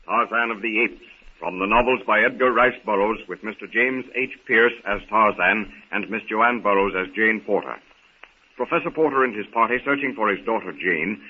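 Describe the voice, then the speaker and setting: A man announcing and narrating a 1930s radio serial from a script, with theatrical, deliberate, dramatic storytelling. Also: fairly low pitch, husky. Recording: tinny, noisy 1930s radio recording.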